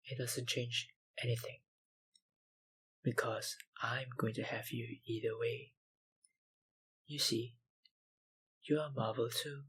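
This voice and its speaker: A man playing an obsessive, dominant, hungry vampire researcher in an intimate ASMR roleplay, with a breathy, gravelly voice and a softly menacing manner. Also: mid-range voice, full character voice, low-quality recording. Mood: possessive. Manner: slow, intimate, softly menacing, lapsing into whispers.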